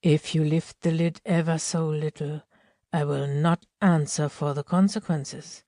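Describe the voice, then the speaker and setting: A woman playing a tender, solemn, protective husband in an audiobook myth play, earnest and deliberate. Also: sits low, fairly even intonation, dark tone, no room sound, studio quality. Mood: earnest warning. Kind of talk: amateur audiobook play reading.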